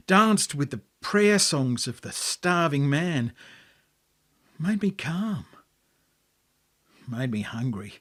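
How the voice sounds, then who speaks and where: Australian male storyteller, slightly higher register, unhurried, measured dramatic read with poetic pauses. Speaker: author reading own story.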